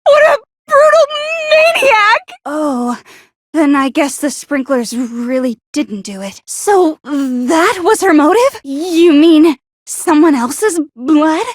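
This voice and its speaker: An actress playing a paranoid, lovestruck teenage schoolgirl with a sharp tongue in an anime video game, stammering and accusatory in her dramatic delivery. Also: sits high, no echo, studio quality. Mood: indignant, shrill.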